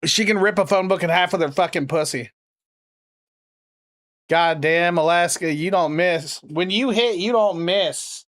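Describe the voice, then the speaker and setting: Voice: mid-range voice, unhurried, a bit bright. A prank caller and streamer chatting casually, with a sarcastic, crude, rambling way of talking. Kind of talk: casual livestream chat and prank calling.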